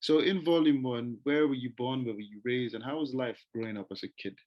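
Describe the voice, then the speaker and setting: A man's voice: medium pitch, brisk, lo-fi sound. A man hosting an interview show, upbeat, rephrasing and repeating his questions. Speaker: host.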